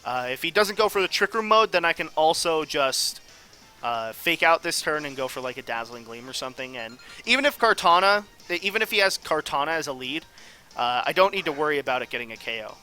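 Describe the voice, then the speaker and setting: A man's voice: a little high, expressive, fairly quick, bright, forceful tone, soft background music. A man giving casual, off-the-cuff commentary on a game as host, rambling and analytical as he thinks aloud. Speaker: gaming video host.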